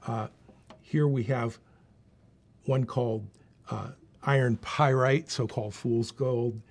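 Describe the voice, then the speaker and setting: A man's voice: mid-range voice, fairly even intonation, takes it slowly. A man giving a plain, unscripted, instructive explanation as he demonstrates equipment. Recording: faint background noise.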